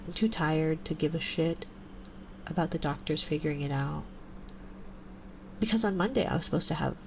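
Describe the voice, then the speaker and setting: A woman in her twenties with a stuffy nose, chatting softly on a live ASMR stream and slipping into whispers. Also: sits low, creaky, slight background noise, low-quality recording. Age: 20s. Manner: soft and relaxed, drifting into whispers. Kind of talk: casual chat to a live stream. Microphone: binaural mic.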